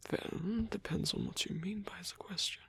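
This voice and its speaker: A man voicing a lonely old male vampire in an ASMR roleplay, gentle, rambling and bookish, with a soft, breathy, creaky voice and a halting, musing delivery. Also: sits high, dry recording, exaggerated voice. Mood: wistful, wry. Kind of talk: ASMR roleplay audio addressed to the listener.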